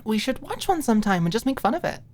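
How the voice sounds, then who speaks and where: A woman's voice: a little high, very animated, fast talker, darkish tone, pitched above the performer's own voice. A woman voicing a playful, teasing young college woman in an audiobook, with casual, bantering delivery. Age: young adult.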